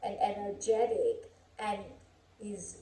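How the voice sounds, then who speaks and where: A woman hosting a children's storybook read-aloud, expressive and playful, with coaxing questions. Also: medium pitch, slow pace. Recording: echoey room.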